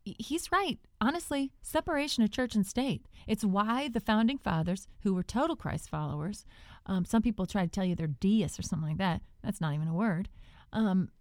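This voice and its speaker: A woman's voice: mid-range voice, animated, normal speed, a little mellow, no room sound, clean studio sound, natural voice. A woman playing an earnest, easily indignant evangelical church podcast hostess, gushing and emphatic in a folksy way. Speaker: podcast co-host. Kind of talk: improvised satirical church podcast banter.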